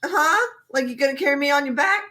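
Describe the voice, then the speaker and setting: A woman's voice: sits high, expressive, fast talker, bright, forceful tone. A woman podcast co-host chatting casually and comically as she retells a story, slangy, sweary and giggly, acting out dialogue. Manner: acts out characters' lines in mock dialogue.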